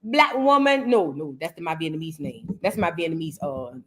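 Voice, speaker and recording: A woman hosting a casual livestream, chatty and animated, reading out viewer messages and giving her opinion. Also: sits low, moderate pace. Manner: chatty, animated, reads out viewer super chats. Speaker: livestream host. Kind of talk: casual livestream reaction chat.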